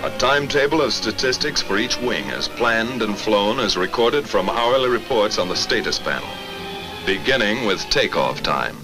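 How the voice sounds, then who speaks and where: A man's voice: fairly low pitch, lively intonation, moderate pace, low-quality recording. American man narrating a 1940s war documentary, music behind. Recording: vintage 1940s film soundtrack.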